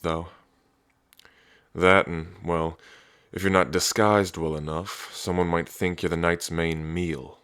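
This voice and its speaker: Deep-voiced, cocky dragon prince, played by a man. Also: a bit bright, dry recording, studio quality. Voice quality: deep, low. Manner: smug, flirtatious, teasing, sweary. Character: cocky, teasing male dragon prince, protective big brother. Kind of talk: ASMR roleplay audio drama acting.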